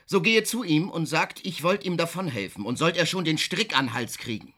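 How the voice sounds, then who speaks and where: An actor playing a high-ranking, loyal officer in a radio play, commanding and urgent in his speech. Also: expressive, normal speed, sharp tone.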